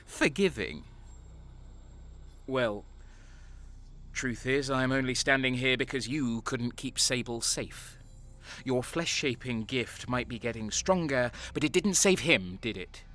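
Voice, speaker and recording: An actor playing a bitter, sardonic renegade soldier, narrating and speaking in a dark sci-fi audio drama with a brooding, cynical manner. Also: male voice, medium pitch, unhurried, lightly acted. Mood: scornful.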